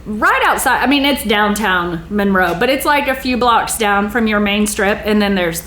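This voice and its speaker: Woman, middle-aged, podcast interviewer, casual and chatty, self-deprecating, medium pitch, faint background noise. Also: normal speed, a bit bright.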